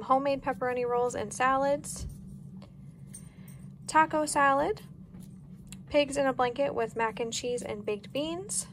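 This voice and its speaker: American woman, home vlogger, fairly high pitch, casual easygoing narration, kitchen recording, noticeable noise. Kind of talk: casual grocery haul and meal plan narration.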